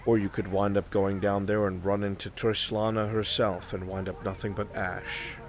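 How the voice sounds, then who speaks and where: A man playing a patient, cryptic dark sage in improvised fantasy roleplay, giving ominous counsel in a solemn, measured, portentous way. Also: fairly low pitch, level tone, lightly acted.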